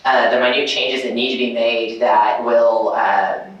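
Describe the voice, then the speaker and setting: A man's voice: medium pitch, fairly even intonation, unhurried, highs cut off. A man speaking on a convention panel in a casual, rambling way, with many fillers and restarts. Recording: echoey hall from far off.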